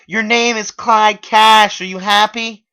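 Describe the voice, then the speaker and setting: A man's voice: high voice, level tone, slow, pushed and bright, smooth, voice pushed up, exaggerated voice. A man voicing an exasperated, scornful woman in a dramatic story reading, curt and accusing.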